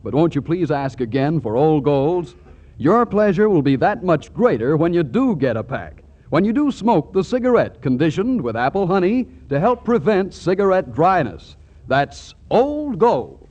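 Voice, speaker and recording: A man's voice: fairly high pitch, big pitch swings, moderate pace, darkish tone. A man working as a polished, emphatic commercial announcer in scripted 1940s radio advertising. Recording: muffled 1940s radio, faint music.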